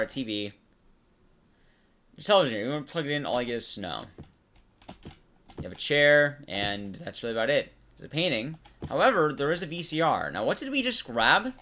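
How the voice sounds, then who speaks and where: Animated man doing game commentary. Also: medium pitch, brisk, pauses often, slight background noise. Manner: explanatory, addressing the viewer in short phrases. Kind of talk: casual gameplay commentary.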